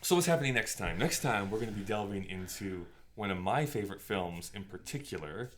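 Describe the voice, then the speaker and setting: A man's voice: lowish voice, animated, brisk, fairly bright tone. A man co-hosting a podcast in casual conversation, with a joking, teasing way of talking. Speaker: podcast co-host.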